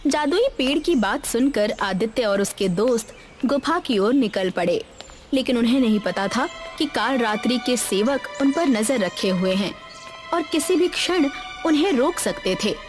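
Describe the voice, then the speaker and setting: A woman narrates a scripted children's story in a fairly high voice. Music plays clearly behind her words. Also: animated, normal speed. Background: background music.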